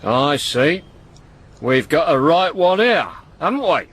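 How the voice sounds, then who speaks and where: A man voicing a sly, menacing military policeman who talks slowly with a sneer. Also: a little high, big pitch swings, a bit bright, slight background noise, pitched above the performer's own voice, exaggerated voice. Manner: slow, sneering, threatening.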